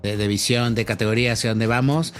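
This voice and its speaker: A middle-aged Argentine man talks through his answers in a podcast interview. He often restarts and fills his pauses. His voice sits in a middle pitch, with faint music behind him. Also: steady tone, clean tone. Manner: thoughtful, many fillers and restarts. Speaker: marketing executive guest.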